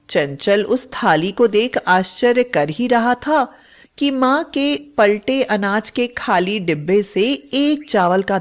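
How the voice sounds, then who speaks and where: A woman narrating a scripted children's moral story in an expressive, dramatic way. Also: medium pitch.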